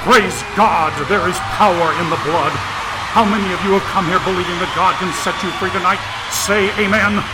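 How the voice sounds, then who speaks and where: A man playing a fervent revival preacher in an audiobook, declaiming in a booming voice to a huge congregation. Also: fairly even intonation, normal speed, loud music behind the voice, close to an everyday voice, low-quality recording. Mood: rousing fervor. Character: booming, fiery male revival preacher working a huge crowd. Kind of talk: evangelical sermon acting in an audiobook.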